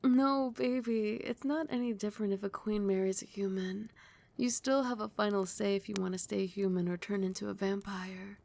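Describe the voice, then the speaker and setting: A woman voicing a loving, protective vampire queen in an intimate ASMR roleplay, breathy and often sinking into a whisper, soothing and doting. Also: mid-range voice, fairly even intonation, normal speed, darkish tone, lightly acted. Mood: tender, earnest. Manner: doting, soothing, affectionate pet names.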